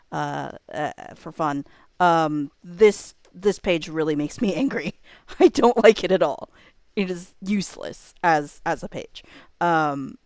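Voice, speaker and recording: A woman with a fairly low voice hosts a casual livestream, thinking out loud as she goes. She rambles informally and cuts into her own sentences. The sound is dry, with very little room on it. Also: clear, resonant tone, dry recording. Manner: rambling, self-interrupting, informal. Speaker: livestream host.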